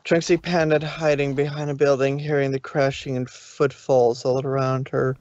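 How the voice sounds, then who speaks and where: A man's voice: mid-range voice, little pitch movement, normal speed, darkish tone, dry recording, muffled recording. A man reading a story aloud as amateur narrator, sometimes stumbling over the words.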